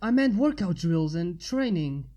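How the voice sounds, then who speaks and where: A woman's voice: on the low side, very animated, measured pace, dark tone. A woman voicing an awkward, polite young man in a storybook reading, mumbling and hesitant. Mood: sheepish.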